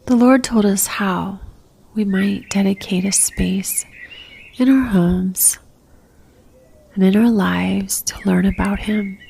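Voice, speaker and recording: A woman leading a guided religious meditation in a soft, near-whispered voice, gentle and calm, leaving long pauses. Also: mid-range voice, vocal fry, slight background noise.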